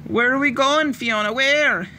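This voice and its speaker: A man voicing a frightened little Irish girl who pleads and sobs in a high voice. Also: lively intonation, a little slow, fairly bright tone, a little hiss or hum, full character voice. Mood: frightened sobbing.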